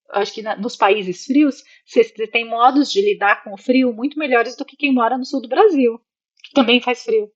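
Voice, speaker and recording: Brazilian woman, expressive, on a dull recording. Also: a little high. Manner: expressive, acts out imagined remarks, seeks agreement with listeners. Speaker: interview guest, psychologist living in Italy. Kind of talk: casual, reflective interview talk.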